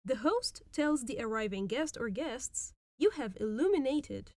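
A woman hosting a podcast and teaching phrases in a patient, explanatory way, repeating words slowly. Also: high-pitched, very animated, darkish tone, no echo, studio quality. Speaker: podcast host and Arabic teacher. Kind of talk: language lesson explaining phrases.

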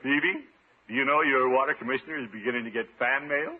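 An actor playing a pompous, excitable middle-aged water commissioner in a 1950s radio comedy, with a blustering manner. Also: male voice, mid-range voice, fast, breathy edge, lightly acted. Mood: smug. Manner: blustering, hammy, swooping delivery. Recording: muffled 1950s radio recording, background hiss.

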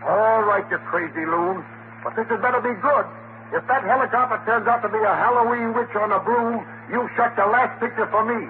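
An actor playing an exasperated city editor in a 1940s radio play, sarcastic and grudging, on a noisy, tinny old recording. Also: male voice, sits high, fairly quick, natural voice. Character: gruff, exasperated male city newspaper editor.

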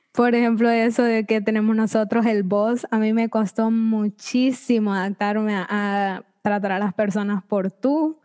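A young woman telling funny anecdotes in a relaxed group chat, amused at herself. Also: mid-range voice, clean tone. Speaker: podcast guest, student.

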